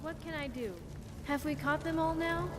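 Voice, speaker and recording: A woman's voice: high voice, fairly even intonation, moderate pace, long reverb tail, noisy background, lightly acted. A woman playing a wistful, earnest young vault survivor in a video game, speaking in careful, formal phrases. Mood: calm, uncertain. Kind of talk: video game companion dialogue.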